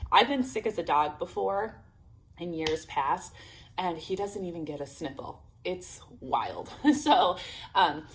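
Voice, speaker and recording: A woman's voice: on the low side, low-quality recording. A woman in her thirties chatting to camera as a homeschool vlogger, upbeat and full of fillers, speaking through a sore throat. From Illinois, United States. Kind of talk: casual chat to camera.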